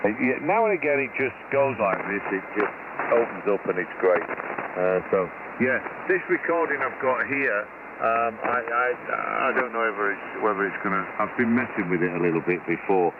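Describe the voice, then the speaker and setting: An Australian man chatting casually as an amateur radio operator, rambling a little and pausing, over a radio transmission. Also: on the low side, lively intonation. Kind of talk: casual ham radio chat. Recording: narrow radio signal, loud static noise. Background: loud radio static and hum.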